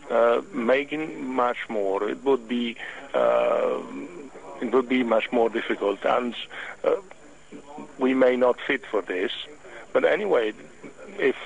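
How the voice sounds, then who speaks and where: A man with an Italian accent, a native Italian speaker, answering radio interview questions slowly as he searches for words. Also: mid-range voice, gravelly, lo-fi sound. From Italy. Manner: halting, searching for words in a second language. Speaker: hobby club official, interview guest.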